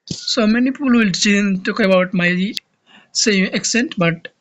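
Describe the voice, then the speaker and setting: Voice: mid-range voice, measured pace, fairly bright tone, a little hiss or hum. A speaker from Bangladesh, a native Bengali speaker with a Bangladeshi accent, explaining a strategy game in a casual tutorial. They often restart words and search for terms. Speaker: game guide creator.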